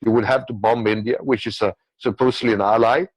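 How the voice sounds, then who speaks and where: A man's voice: mid-range voice, fairly even intonation, quick pace, dry recording, rough recording. A man who is not a native English speaker gives rambling, repetitive, unscripted political commentary, often checking in with the listener. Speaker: guest commentator.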